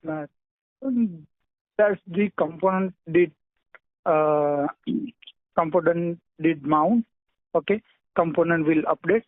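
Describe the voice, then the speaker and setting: A man with an Indian accent answering technical interview questions over the phone, hesitant and halting, often clearing his throat. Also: fairly high pitch, no echo. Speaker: job candidate being interviewed. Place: phone call. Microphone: telephone. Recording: tinny narrowband telephone line.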